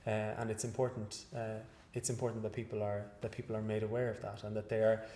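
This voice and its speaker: A man's voice: lowish voice, little pitch movement, warm tone. Hesitant man in an echoey room. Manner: measured, hesitant, many fillers and restarts. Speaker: guest researcher. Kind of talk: interview after a lecture.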